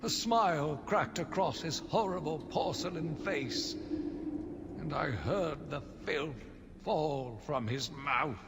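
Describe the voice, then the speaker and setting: An actor playing a zealous, deluded asylum warden who delivers a theatrical, deliberate diary monologue in a video game. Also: male voice, on the high side. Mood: haunted dread. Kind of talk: dramatic first-person game monologue. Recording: long reverb, clearly noisy ambient backdrop.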